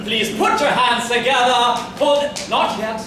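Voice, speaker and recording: A man's voice: extremely high pitch, fairly even intonation, unhurried. A man presenting a play to an audience in a formal, showy, comic manner. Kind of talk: theatrical comic introduction to audience. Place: stage in a hall, recorded from afar. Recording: echoey hall, distant mic, noisy.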